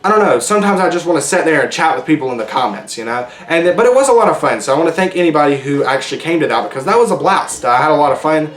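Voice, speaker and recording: A slightly high-pitched male podcast host talks loosely and off the cuff, wandering between thoughts with plenty of ums. His voice is wearing thin and hoarse. The sound bounces around an echoey room. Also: animated, very fast, few pauses. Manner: rambling, loose and chatty with many ums and restarts. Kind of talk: casual solo podcast chat.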